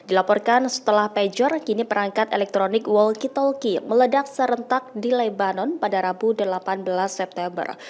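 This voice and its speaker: A woman's voice: medium pitch, moderate pace, darkish tone. A woman reads scripted news narration as a voice-over.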